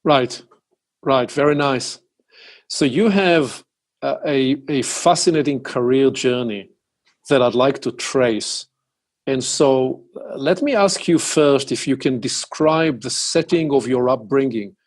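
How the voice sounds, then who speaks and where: A man hosting a podcast, asking deliberate, probing interview questions. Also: mid-range voice. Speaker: podcast host.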